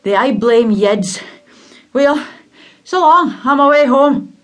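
A Scottish woman voicing a blunt working man who speaks in a raised, louder voice in a storybook reading. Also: sits high, expressive, takes it slowly, pitched above the performer's own voice. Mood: offhand. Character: gruff working man, a helpful stranger.

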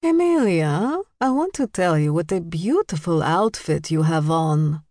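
A woman's voice: fairly low pitch, expressive, measured pace, a little mellow, dry recording, clean studio sound. A woman voicing a wealthy Russian socialite in her fifties, cooing and prying, in an audiobook. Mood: gushing, admiring. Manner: cooing, gushing, domineering.

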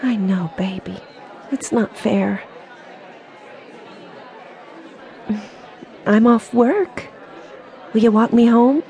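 An actress playing a trusting, lovestruck young woman in an audio drama, soft and earnest. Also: fairly high pitch, slow, a faint crowd. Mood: sympathetic. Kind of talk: radio drama acting.

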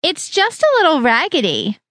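A woman voicing a snobbish middle-aged future mother-in-law who talks in a prim, condescending, drawn-out way. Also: high-pitched, huge pitch swings, fairly bright tone, dry recording, voice pushed up, full character voice. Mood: disapproving.

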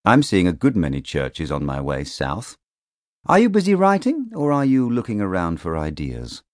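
Man narrating as a low-voiced, sinister letter writer. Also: wildly swooping pitch, dry recording, clean studio sound. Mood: suavely polite. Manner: measured, insinuating, mock-courteous. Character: sinister male postcard writer, politely taunting, faintly menacing.

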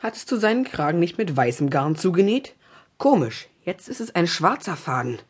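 Smug tattling boy, needling, animated pitch, hoarse-edged, children's audio drama. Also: moderate pace. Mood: sly, tattling. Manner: needling, sly.

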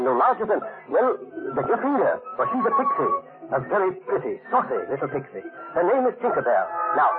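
A man acting as a playful storybook narrator in an old radio play, confiding and theatrical as he addresses children. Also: high-pitched. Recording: muffled narrow-band old radio recording, loud music.

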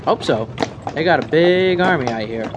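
An actor playing a teasing, easygoing frontier traveler in a radio drama, folksy and needling. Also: male voice, fairly high pitch, expressive, moderate pace, dull audio, close to an everyday voice. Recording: clear background ambience noise.

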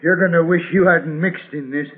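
An actor playing a belligerent, hot-headed frontier brawler who snarls threats in a radio western. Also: male voice, on the high side, fairly even intonation, moderate pace, husky. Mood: angry, spiteful. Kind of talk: 1950s radio western acting. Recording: tinny, muffled 1950s radio recording.

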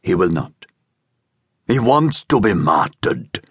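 A male narrator plays a conflicted younger man in an audiobook drama, easily swayed by stronger wills. His delivery is emotional and wavering, with a highly varied pitch and a dry, close sound. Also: lively intonation, normal speed, slightly rough, no room sound. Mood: sorrowful.

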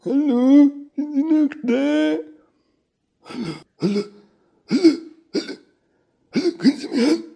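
A man's voice: very high voice, unhurried, creaky, pauses often, warm tone, heavily acted. A man playing a confused, dreamy caller who cannot hear, puzzled and repetitive, in an absurd comic telephone sketch. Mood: puzzled, insistent.

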